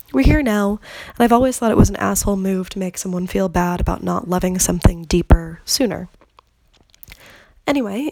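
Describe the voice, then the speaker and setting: A woman's voice: mid-range voice, vocal fry, darkish tone, no room sound. A woman in her thirties hosting a solo podcast in a candid, conversational way, swearing freely and sounding a little congested from a cold. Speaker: podcast host. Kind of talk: casual solo podcast monologue.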